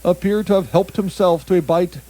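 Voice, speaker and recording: A man's voice: sits high, a little fast, warm tone, rough recording. Giggly man reading odd news aloud. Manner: reads odd news stories aloud, stumbling over foreign words and laughing mid-sentence. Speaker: comedy podcast co-host. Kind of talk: casual comedic news banter.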